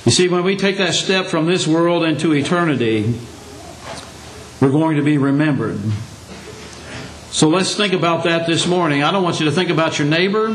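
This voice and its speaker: An older male preacher delivers a Sunday lesson in a plain, folksy manner, his slightly high voice hoarse and scratchy. He speaks in a church room that rings with echo. A faint hum sits behind him. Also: moderate pace. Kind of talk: sermon. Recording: echoey room.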